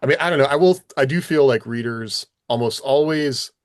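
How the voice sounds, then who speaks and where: A man's voice: mid-range voice, a little fast, dry recording, studio quality. A man co-hosting a podcast as a writer, discussing craft casually in a tentative, explanatory way.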